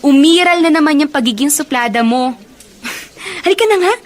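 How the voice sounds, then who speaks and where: A woman's voice: high-pitched, animated, normal speed. An actress playing a friendly, teasing young college woman in a Filipino radio drama. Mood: playful, teasing. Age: young adult.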